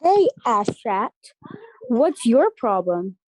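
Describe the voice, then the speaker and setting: Feisty small young heroine played by a woman, bold, higher-pitched, dry close sound, table-read drama. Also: very animated, slow, a little mellow, no echo, heavily acted, rough recording. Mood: defiant. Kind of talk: amateur table read acting.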